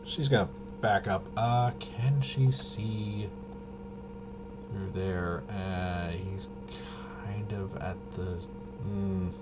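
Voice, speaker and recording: A man's voice: low-pitched, steady tone, drawn-out words, pauses often, very noisy. A man casually thinking aloud as he plays a tabletop roleplaying game, hesitant and muttering to himself while he checks rules. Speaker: solo tabletop RPG player demonstrating gameplay.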